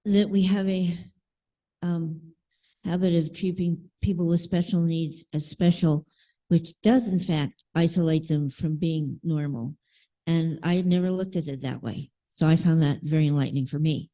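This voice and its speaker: A woman's voice: deep voice, fairly even intonation, normal speed, mellow. A woman from a congregation giving an informal comment in a group discussion.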